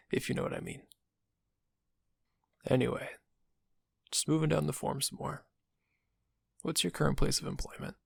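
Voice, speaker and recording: Audio roleplay casting: male actor as sly front-desk guy at a vampire's dental office, mid-pitched, heavy vocal fry, casual and insinuating. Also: no echo, clean studio sound, exaggerated voice. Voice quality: gravelly, husky. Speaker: receptionist character. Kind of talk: audio roleplay addressed to the listener. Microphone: close, dry microphone.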